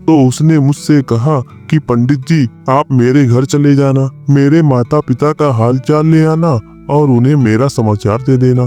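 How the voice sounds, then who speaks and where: A man voicing a cunning, smooth-talking village priest in a cartoon moral story, glib and folksy. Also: mid-range voice, dark tone, close to an everyday voice.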